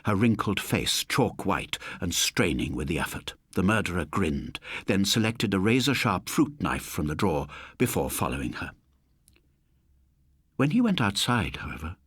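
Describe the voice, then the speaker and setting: A man with a low, husky voice reads a suspense story as an audiobook narrator. His delivery is theatrical and measured, with very animated intonation. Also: no echo, clean studio sound.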